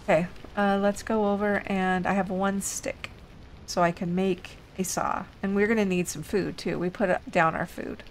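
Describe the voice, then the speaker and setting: A woman's voice: mid-range voice, steady tone, normal speed, a little hiss or hum, poor audio. A woman hosting a casual let's play, thinking aloud unhurriedly while getting over an illness. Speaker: gaming video host.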